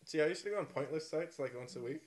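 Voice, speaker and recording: A man's voice: fairly high pitch, fast talker. A young man in casual podcast conversation, talking in a joking, teasing way. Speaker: podcast participant. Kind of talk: casual chat.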